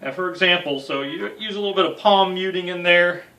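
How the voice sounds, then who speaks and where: A man's voice: high-pitched, brisk, sharp tone, far from the mic, slight background noise, rough recording. An American man in his thirties giving a casual guitar tutorial with self-deprecating, joking asides. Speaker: guitar instructor. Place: small home music room.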